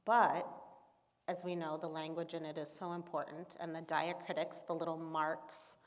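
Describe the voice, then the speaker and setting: A woman interviewing an author onstage, asking measured, thoughtful questions. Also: low voice, level tone. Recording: long reverb in a hall with an audience.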